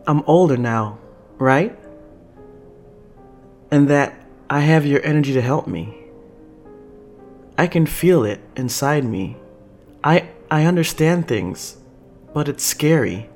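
A man's voice: mid-range voice, a little mellow, pitched above the performer's own voice, lightly acted. A man voicing an earnest, vulnerable boy in an older body, speaking hesitantly in a story.

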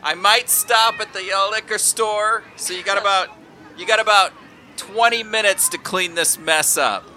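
A man voicing a fed-up, hungover drinker in a tabletop game, complaining haltingly. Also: high-pitched, bright, forceful tone, a faint crowd, a little hiss or hum, voice pushed up. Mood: grumpy, fed up.

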